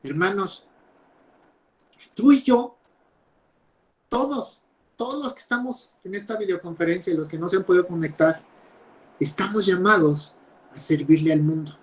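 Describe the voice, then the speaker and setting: A young man preaching a sermon over a video call, emphatic, with loud stresses and quiet drops. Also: high voice, normal speed, long pauses. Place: home, speaking over videoconference.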